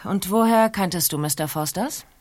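An actress playing a sharp, skeptical police detective questioning a suspect in a radio play. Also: female voice, on the low side, moderate pace, no echo, close to an everyday voice. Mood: stern.

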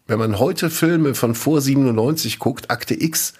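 A man co-hosting a podcast, chatting casually and telling rambling, animated stories with lots of restarts. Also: mid-range voice, a little slow, husky. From Eifel region, Germany. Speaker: podcast co-host. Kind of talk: casual chat.

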